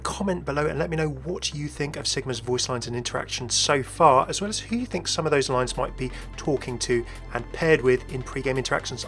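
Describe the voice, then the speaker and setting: Guy doing game commentary voiceover, medium pitch, host explaining stuff, bit of music behind. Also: a bit bright. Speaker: video host.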